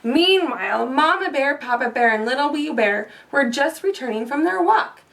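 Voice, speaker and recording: High-pitched woman reading a storybook aloud. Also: expressive, moderate pace, creaky, fairly bright tone, distant mic. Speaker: storybook reader. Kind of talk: children's read-aloud narration with asides.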